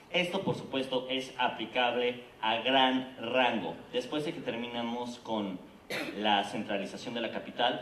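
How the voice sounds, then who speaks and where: A man's voice: mid-range voice, a bit bright, a little hiss or hum. A man with a Mexican Spanish accent giving a persuasive presentation at a theater congress, often checking in with the audience. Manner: earnest, persuasive, frequent "¿no?" and "¿de acuerdo?". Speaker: conference speaker presenting a theater project. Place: conference hall. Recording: echoey hall, voice from a distance.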